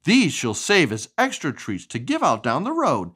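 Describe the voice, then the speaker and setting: A woman reading a storybook aloud to a young child in an expressive, sing-song way. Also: deep voice, moderate pace, breathy edge. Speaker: storybook reader.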